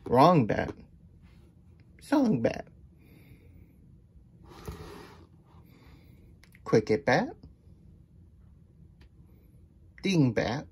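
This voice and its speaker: A man voicing a childlike, good-natured hedgehog plush puppet with a husky voice, reading a picture book with halting, folksy asides. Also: medium pitch, a little mellow, exaggerated voice. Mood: playful. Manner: speaks of self in third person, halting, folksy. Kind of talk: puppet storytime read-aloud with chatty asides.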